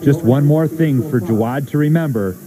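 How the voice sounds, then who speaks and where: A man's voice: medium pitch, normal speed, heavy background noise. An American man narrating a scripted TV news story as a reporter.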